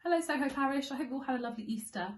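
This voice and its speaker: A woman's voice: high-pitched, very fast. A woman reading a children's story expressively as a school storyteller, leaning on key words for young listeners.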